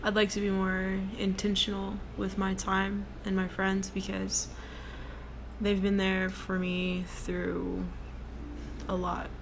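Young woman rambling on her first podcast, deep flat voice, lots of ums, kinda noisy recording. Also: slow pace, gravelly. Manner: rambling, many ums and likes, laid-back. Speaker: podcast host. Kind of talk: casual solo podcast chat. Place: at her workplace. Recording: noticeable background noise.

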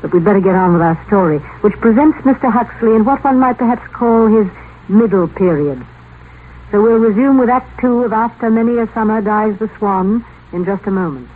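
A man's voice: high voice, fairly quick, slight background noise. A man giving formal, scripted commentary on a radio program in an earnest, literary manner. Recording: tinny 1950s radio broadcast.

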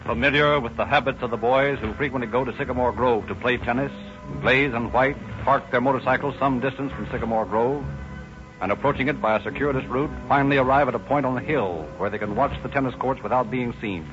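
An actor as a grave, formal American narrator, reading scripted 1930s radio crime narration. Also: male voice, medium pitch, brisk, over music, close to an everyday voice. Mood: suspenseful. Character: grave, formal male narrator of police story. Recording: dull old radio recording.

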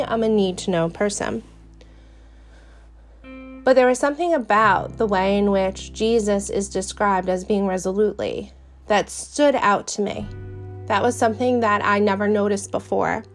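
A woman's voice: medium pitch, normal speed, soft background music. A young adult woman with a New York accent, hosting a podcast and talking in an earnest, chatty way about her faith. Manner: earnest and chatty, with fillers and restarts. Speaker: podcast host. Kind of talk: casual faith podcast monologue.

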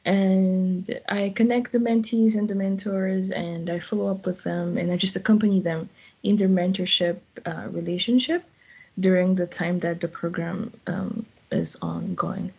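Husky woman, chatty guest. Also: medium pitch, moderate pace, a little mellow, highs cut off. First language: French. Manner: chatty, laughs often, uses "like". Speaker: guest, volunteer. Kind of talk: casual interview answers.

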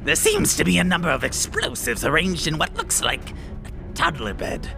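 A man's voice: fairly high pitch, huge pitch swings, normal speed, vocal fry, over music, full character voice. A man voicing a pompous, easily exasperated paladin villain leader in a comedic cartoon, speaking grandly. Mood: haughty, wary.

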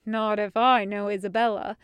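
A woman's voice: mid-range voice, flat delivery, moderate pace, fairly bright tone, smooth, dry recording, studio quality, close to an everyday voice. A woman voicing an exasperated, blustering male adventurer hero in an audiobook story. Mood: resolute. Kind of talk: audiobook character acting.

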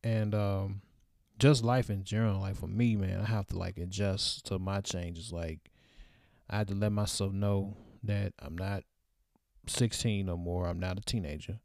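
Young man in his 20s, low mellow voice, casual rambling vlogger, dry close sound. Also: fairly even intonation, measured pace, gravelly, no echo. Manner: loose, reflective, lots of fillers.

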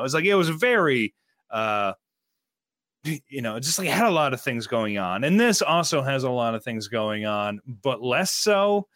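A man's voice: mid-range voice, a bit bright, clear, resonant tone, no room sound, studio quality. A man on a roundtable podcast panel, speaking hesitantly with many fillers and trailing thoughts. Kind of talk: casual roundtable chat.

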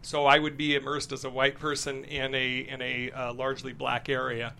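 A man from New Mexico, a guest writer in a studio, telling personal stories in a halting, reflective way. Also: medium pitch, completely flat delivery, vocal fry, sharp tone, slight background noise. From Albuquerque, New Mexico, United States. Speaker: guest screenwriter. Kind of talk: reflective personal storytelling in an interview.

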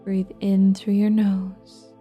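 A woman leading a guided relaxation meditation in a soft, gentle, breathy and soothing voice, leaving long pauses between phrases. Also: medium pitch, completely flat delivery, lo-fi sound. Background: soft new-age music with singing bowls.

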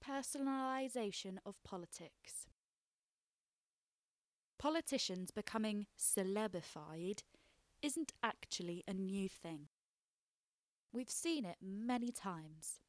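A woman narrates her own written essay in a steady, explanatory read. Her voice sits at a middling pitch. It is recorded close and dry, with no room echo. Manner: measured, explanatory reading. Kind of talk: scripted narration.

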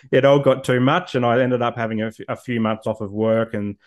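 A man's voice: medium pitch, fairly even intonation, moderate pace. A man in his forties, speaking reflectively as an interview guest, with frequent ums and repeated words. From Australia. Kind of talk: personal story in an interview.

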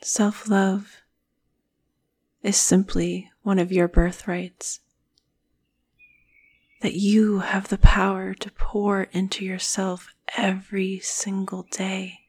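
A woman guiding a meditation in a soothing, breathy manner, with phrases often trailing off into a whisper. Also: mid-range voice, little pitch movement, takes it slowly, long pauses, darkish tone. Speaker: meditation guide. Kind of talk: guided meditation narration.

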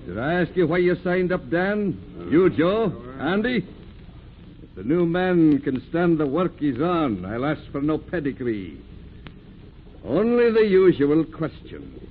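An actor playing a gruff but fair whaling ship skipper in old-time radio drama, blustery and commanding. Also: male voice, fairly high pitch, big pitch swings, measured pace. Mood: challenging. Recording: muffled, noisy old radio recording.

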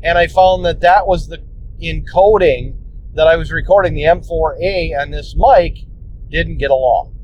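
Podcast host, male, slightly high voice, rambling how-to chat from a moving car, muffled phone sound. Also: normal speed. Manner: rambling, restarts, plainspoken with mild swearing. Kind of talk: casual instructional talk. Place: inside a car on the road. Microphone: phone recorder. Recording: muffled phone recording with road noise. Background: road rumble.

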